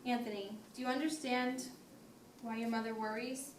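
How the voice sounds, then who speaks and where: A woman playing a patient, firm family therapist, speaking in a measured, formal way in an amateur role-play. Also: fairly high pitch, steady tone, lightly acted. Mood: mediating, patient. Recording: noisy echoey room, distant mic.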